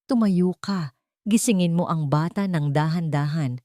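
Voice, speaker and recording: A woman voicing a calm, murderous villainess who mocks and threatens her captives in an audio drama story. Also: low-pitched, a little slow, dry recording, clean studio sound. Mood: cold, commanding. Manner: calm, menacing, mocking.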